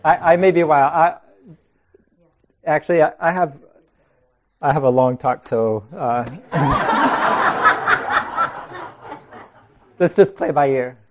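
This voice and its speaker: A man leading a group discussion in a meeting room. He talks in a relaxed way, laughing often and restarting his sentences. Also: a little high, slow, long pauses, slight background noise. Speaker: meditation group leader.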